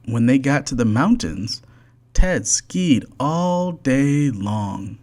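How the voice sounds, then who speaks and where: A storyteller reading a children's storybook aloud in a deliberate, expressive way, with a medium-pitched voice. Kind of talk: scripted children's storybook narration.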